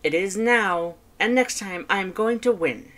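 Woman acting a high-pitched, pompous male skeleton. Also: a little slow, a bit bright, natural voice. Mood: proud, boastful. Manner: emphatic, formal, theatrical delivery. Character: loud, pompous, competitive male skeleton, dramatic and proud. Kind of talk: fan fiction audiobook character voice.

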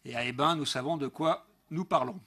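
Middle-aged male councillor, slightly high-pitched husky voice, formal emphatic speech read into a desk mic. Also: fairly quick, bright, forceful tone. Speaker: local elected councillor. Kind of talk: prepared political speech at a council meeting. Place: large council meeting room, indoors. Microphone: gooseneck desk microphone.

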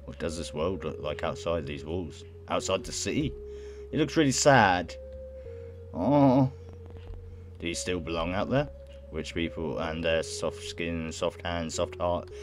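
A young man reading a visual novel aloud in a halting way, with casual reactions of his own. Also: low-pitched. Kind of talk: let's play, reading game text aloud with casual reactions. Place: indoor room at home.

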